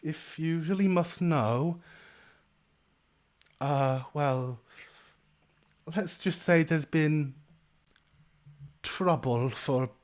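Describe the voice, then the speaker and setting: A man's voice: on the high side, voice pushed up. A man voicing a flustered, motherly halfling innkeeper woman who fusses over guests and calls them dearie. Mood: guarded. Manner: fussy, apologetic, calls everyone dearie. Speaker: game master.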